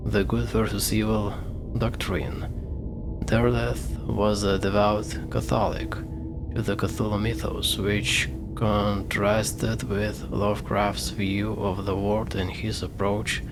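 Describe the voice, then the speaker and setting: A man reading informational notes aloud during a game playthrough, in a measured, deliberate way. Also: lowish voice, little pitch movement, gravelly, over music. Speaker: gaming video host.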